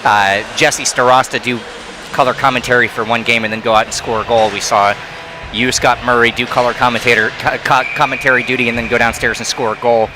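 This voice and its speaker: Brief: male play-by-play hockey announcer, quick, noisy arena broadcast. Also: mid-range voice. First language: not Thai. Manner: rapid, excitable calls on big plays. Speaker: play-by-play commentator.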